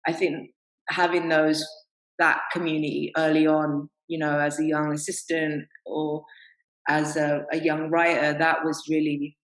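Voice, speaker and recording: Low-voiced woman in an echoey room. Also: flat delivery, unhurried. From England. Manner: candid, anecdotal, laughs often. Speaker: panelist. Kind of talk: panel discussion.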